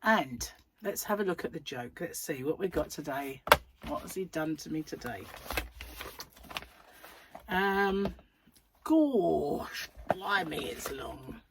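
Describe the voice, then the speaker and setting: A woman hosting a crochet channel, chatting in a rambling, self-mocking way at home while her voice is croaky from a cold. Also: deep voice, lively intonation, slow, pauses often, noisy background. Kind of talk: casual chatty vlog. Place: at home, seated in a chair.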